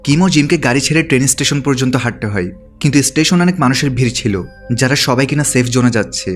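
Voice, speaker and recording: A man's voice: medium pitch, rapid-fire, faint music behind. A man reading a scripted story recap as a narrator.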